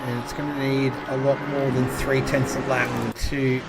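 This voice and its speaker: A man giving measured, factual live commentary as a sim racing commentator. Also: mid-range voice, flat delivery, gravelly. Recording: loud car engine noise. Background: race car engines.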